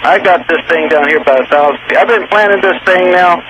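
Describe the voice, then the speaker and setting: Folksy Texan caller on noisy line. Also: male voice, high voice, a little fast. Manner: folksy, rambling, down-home phrasing. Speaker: radio call-in caller. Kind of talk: live call to a radio show. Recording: noisy phone line on a radio broadcast.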